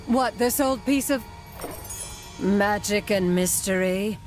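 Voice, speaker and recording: Lady doing a mystical fortune teller hawking treasures, grand drawn-out words, cartoon game, soft music behind. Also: medium pitch, natural voice. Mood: mysterious, salesy. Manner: grandiose, salesy, drawn-out delivery. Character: theatrical, mysterious female fortune teller and peddler. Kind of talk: cartoon game cutscene acting.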